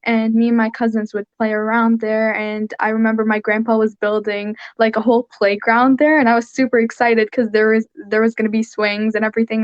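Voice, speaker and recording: A teenage girl with a fairly high voice tells her story earnestly over a video call. She keeps a level, narrow melody and fills pauses with "like". The audio is muffled and dry, with no room around it. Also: no room sound. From Syria. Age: teens. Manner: earnest, soft-spoken storytelling with frequent "like". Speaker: interview guest, young artist. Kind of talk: online interview.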